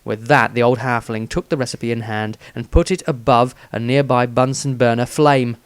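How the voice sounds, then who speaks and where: A man's voice: mid-range voice, steady tone, moderate pace, clean tone, no echo. A man reading a scripted fantasy story aloud as its narrator.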